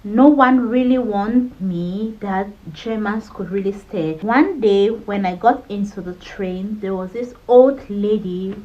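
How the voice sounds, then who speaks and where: A woman's voice: medium pitch, slow, hardly any pauses, muffled recording. A woman from Cameroon in her thirties, talking candidly in a personal vlog with a conversational manner. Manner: candid, conversational, frequent "you know". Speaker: vlogger.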